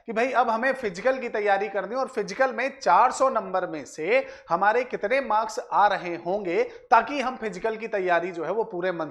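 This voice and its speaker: Man explaining to students. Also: high voice. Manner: addresses viewers directly, repeats filler phrases and check tags. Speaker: exam coaching presenter. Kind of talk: explanatory exam analysis for students.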